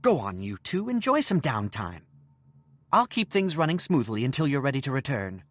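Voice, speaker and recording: A man playing a steady, dutiful gnome-like adventurer in a video game, earnest with a wry streak. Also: medium pitch, normal speed, no room sound. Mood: reassuring. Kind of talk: video game cutscene acting.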